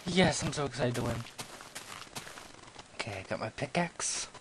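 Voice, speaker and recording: A young man narrates his game in a goofy, self-mocking way, scolding monsters and teasing himself. He talks slowly and unhurriedly, at a middling pitch. The recording carries clear background noise. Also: expressive. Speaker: gamer playing commentary. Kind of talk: let's play commentary. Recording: noisy home recording.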